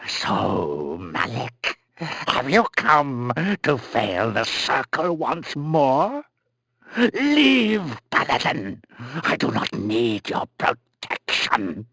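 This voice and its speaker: An actor voicing a bitter, grieving, reclusive mad sorcerer in a video game, gravelly, raspy and theatrical. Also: male voice, medium pitch, big pitch swings, pushed and bright, dry recording, heavily acted. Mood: scornful. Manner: slow, theatrical, ranting.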